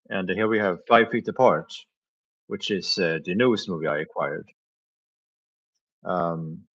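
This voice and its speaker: A man with a deep, low-pitched voice talks casually to viewers on a live stream. He speaks haltingly, filling gaps with small sounds and quiet chuckles. The recording sounds dull and slightly muffled. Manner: hesitant, many filler sounds, chuckles often. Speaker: live streamer reviewing flea market finds.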